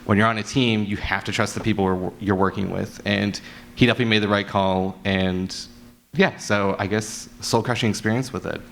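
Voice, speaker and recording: A man's voice: lowish voice, steady tone, brisk, vocal fry, fairly bright tone. A man telling an anecdote as an audio drama writer on a live convention panel. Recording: noisy convention room panel.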